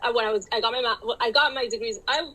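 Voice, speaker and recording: A woman's voice: on the high side, fast, bright, forceful tone, poor audio. A Dominican-born woman with a Miami Cuban-tinged accent, chatting casually as a guest author and laughing often. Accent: Miami Cuban-inflected English. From Dominican Republic. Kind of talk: casual interview conversation.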